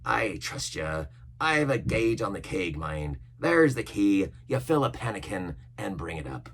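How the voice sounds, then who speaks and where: Brief: a man playing a cunning older cook, gravelly growl, lively swings in pitch. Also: a little slow, a little hiss or hum, heavily acted. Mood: menacing. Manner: growling, theatrical, shifting between bluster and whispers. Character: scheming one-legged pirate cook, older man, cunning.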